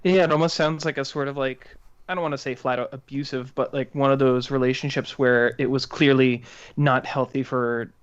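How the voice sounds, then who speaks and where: A young man with a medium-pitched voice talks casually about music as a podcast co-host. His manner is enthusiastic and informal. The recording is a little dull and muffled. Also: steady tone, fairly quick, clean tone.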